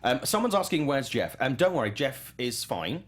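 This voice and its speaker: A man's voice: mid-range voice, big pitch swings, normal speed. A man hosting a casual panel chat about films as a journalist. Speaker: talk show host and film journalist.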